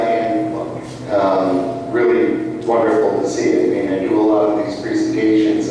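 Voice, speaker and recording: A man's voice: medium pitch, noisy background. A man presenting at a community meeting in a large room, speaking informally with many fillers. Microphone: handheld mic held at a distance. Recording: far-off echoey hall with mic feedback.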